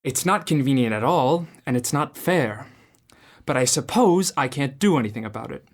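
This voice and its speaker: Sour, tight-fisted old miser who snaps out orders; radio play. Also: male voice, mid-range voice, lively intonation, moderate pace. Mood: grudging. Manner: curt, scornful, barking orders. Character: stingy grumbling old male miser. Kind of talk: student radio drama acting.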